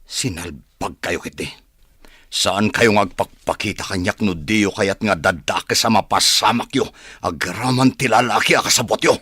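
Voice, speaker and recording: A man acting a brash, desperate, scheming rich man who is quick to anger, in an Ilocano radio drama. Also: fairly low pitch, sharp tone, slightly rough, dry recording, clean studio sound. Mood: angry, threatening.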